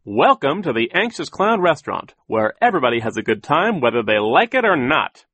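A man's voice: medium pitch, big pitch swings, brisk, fairly bright tone, dry recording, pitched above the performer's own voice. A man voicing a short, skinny, goofy clown waiter with relentlessly chirpy sales patter, in an audiobook story.

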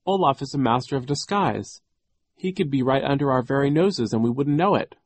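A man's voice: mid-range voice, expressive, a little fast, no room sound, pitched above the performer's own voice. A man voicing an earnest, polite teenage orphan girl who speaks up insistently in an audiobook story.

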